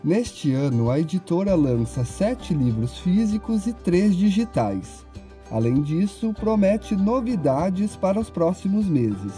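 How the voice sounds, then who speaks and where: A man's voice: high-pitched, very animated, slow, mellow, soft background music. A young man delivering a scripted television news report. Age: young adult.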